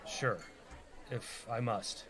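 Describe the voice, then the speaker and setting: A man's voice: medium pitch, steady tone, drawn-out words, a bit bright. A young rogue played by a man with a mid-range voice, casual and persuasive. Comedy radio play acting of a reluctant leader, faint crowd murmur behind. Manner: casual, bargaining.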